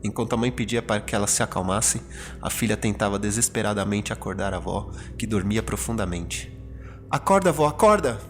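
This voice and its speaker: Low-pitched Brazilian man narrating horror. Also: level tone, brisk. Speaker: horror story podcast narrator. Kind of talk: scripted dramatic narration. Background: prominent music.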